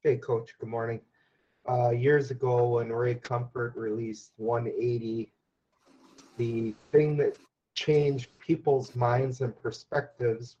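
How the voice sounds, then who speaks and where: A man calling in to a talk show, speaking in a slow, measured way. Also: medium pitch, a little mellow, dull audio. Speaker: caller. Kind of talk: call-in comment.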